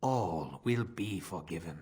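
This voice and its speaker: An actor playing a solemn, zealous village cult priest in a video game, preaching in a grave, pompous and formal way. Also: male voice, low voice, animated, slow, background noise. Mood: stern, guarded.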